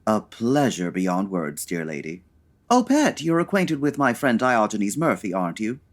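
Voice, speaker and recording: Flamboyant, fussy artistic gent, gushing endearments, hugely animated delivery, audio-drama comedy. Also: male voice, fairly low pitch. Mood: delighted, gushing. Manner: effusive, affected, drawn-out endearments.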